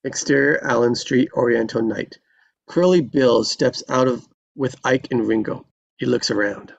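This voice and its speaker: Gravelly man reading stage directions. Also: medium pitch, moderate pace, lo-fi sound, slight background noise. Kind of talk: scripted narration.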